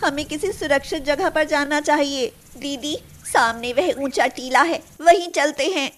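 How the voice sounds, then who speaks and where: Lady doing a sweet poor little girl in a moral cartoon tale, high n slow, earnest. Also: a bit bright. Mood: worried. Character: poor young sisters, sweet girls in wonder or worry. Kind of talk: animated moral storybook dialogue.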